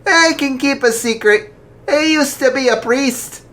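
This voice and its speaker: A storyteller voicing a tall, jolly older archbishop who speaks kindly and gently, pitched far above their own voice. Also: male voice, measured pace, exaggerated voice.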